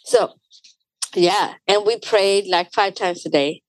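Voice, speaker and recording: A woman's voice: mid-range voice, steady tone, slow, no echo, low-quality recording. A young adult woman from Sweden with a Swedish accent, chatting casually as an interview guest with many fillers and restarts.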